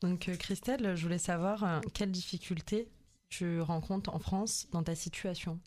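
Soft-spoken radio interviewer woman. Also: on the low side, steady tone, moderate pace, dry recording. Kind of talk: interview questions. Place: radio studio.

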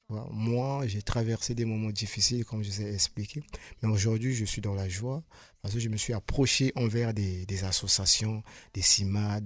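A man's voice: on the low side, dry recording. Earnest man, dry studio sound. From Republic of the Congo. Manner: hesitant, many pauses and repeated words, sincere. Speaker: radio guest, hairdresser. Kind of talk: earnest personal testimony. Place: radio studio.

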